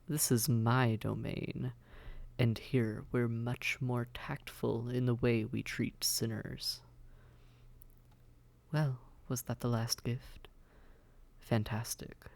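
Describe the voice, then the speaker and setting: Haughty demon prince for audio roleplay, male actor: slow, refined, gravelly, condescending, seductive, often near a whisper. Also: mid-range voice, a little mellow. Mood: offended, condescending, then gracious. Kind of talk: scripted roleplay audio drama, addressed to listener.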